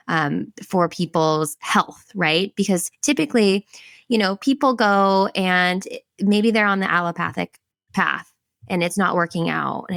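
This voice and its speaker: Woman host, lower voice with vocal fry, conversational questioner. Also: fairly bright tone, no room sound. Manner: chatty, circling questions. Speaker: podcast host. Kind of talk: casual podcast interview.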